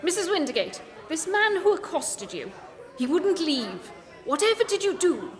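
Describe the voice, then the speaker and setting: A woman plays a shrewd Victorian gentleman detective in a comic radio play. The voice is high, formal and precise, with a commanding briskness, and carries long reverb. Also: big pitch swings, a faint crowd. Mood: inquisitive.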